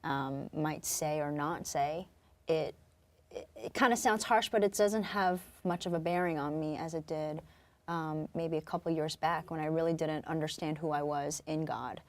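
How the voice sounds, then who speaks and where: A young woman from Korea, raised on Long Island, speaking as a guest in a relaxed interview. Her voice sits somewhat low for a woman, and she talks in a soft, reflective way, pausing often to find her words. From born in Korea, raised in Long Island. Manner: soft-spoken, reflective, many fillers. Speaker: guest, singer-songwriter. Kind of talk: casual interview talk.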